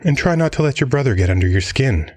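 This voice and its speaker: A man playing a swaggering, smug billionaire crime-boss father in an audio drama, with a husky, drawling, mock-affectionate delivery. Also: medium pitch, lively intonation, quick pace, darkish tone, a little hiss or hum, lightly acted. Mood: jovial, smug.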